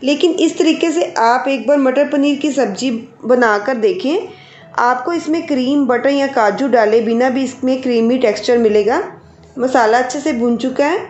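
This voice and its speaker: A woman with a high voice walks viewers through a home recipe in a calm, explanatory way. Her voice-over rings in an echoey room, with faint music underneath. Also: lo-fi sound. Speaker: cooking channel host. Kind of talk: step-by-step cooking instruction. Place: home kitchen.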